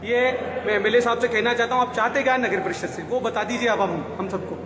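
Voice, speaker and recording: High-voiced councillor, blunt and complaining. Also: male voice, fast talker. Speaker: ward councillor. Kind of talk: press conference statement. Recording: echoey room with noise.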